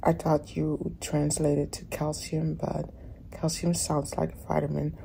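A woman vlogging casually from a hotel room in the morning, her voice raspy and often dropping to a whisper. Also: low voice, monotone, a little slow, slight background noise. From Netherlands. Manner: soft, often whispering in the dark.

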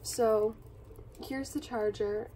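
A young woman with a hoarse, raspy voice from a cold, pitched a little high, chatting casually and a bit apologetically. She sits back from a phone filming from above, so her voice lands slightly distant over a clear room hiss. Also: fairly even intonation, slow. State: hoarse from being sick. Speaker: vlogger. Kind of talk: casual unboxing chat. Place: carpeted bedroom floor. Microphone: phone camera filming from above.

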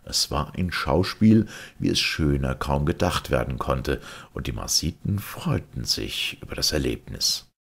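A man narrates a literary audiobook in an extremely deep voice with a gravelly creak. His reading is animated and moves freely up and down, with a husky grain to the sound. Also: measured pace, few pauses. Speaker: audiobook reader. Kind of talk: scripted literary narration of a vintage science fiction story.

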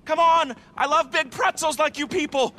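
An actor playing a desperate, boastful wannabe celebrity DJ in a video game, babbling and bragging in a needy way. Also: male voice, very high voice, steady tone, moderate pace, pushed and bright, exaggerated voice. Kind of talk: video game cutscene acting.

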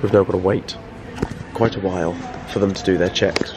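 Slightly hoarse young man vlogging in a car. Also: deep voice, steady tone, brisk, music in the background. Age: young adult. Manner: chatty, upbeat presenting. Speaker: vlog host. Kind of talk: casual car vlog chat. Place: inside a car and a car dealership. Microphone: handheld camera at arm's length. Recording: noisy car and street sound. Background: engine and road noise.

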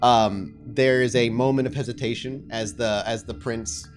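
A man working as game master, narrating a tabletop game in halting, deliberate phrases. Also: medium pitch, steady tone, faint music behind.

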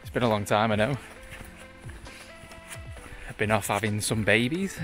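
A man's voice: lowish voice, moderate pace, faint music behind. A man, casually reviewing outdoor gear while sitting outdoors in a wood, talking to a camera on a tripod. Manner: chatty, relaxed, light joking asides. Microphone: camera on a tripod, also handheld selfie while walking.

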